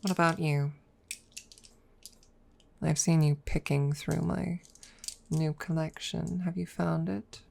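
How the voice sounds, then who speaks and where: Woman doing a proud old dragon rival w a secret crush, asmr roleplay, creaky low voice, halting, close on the mic. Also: flat delivery, a little mellow, slight background noise, heavily acted. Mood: haughty, accusing. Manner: haughty, halting, dropping into close whispers. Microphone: close microphone.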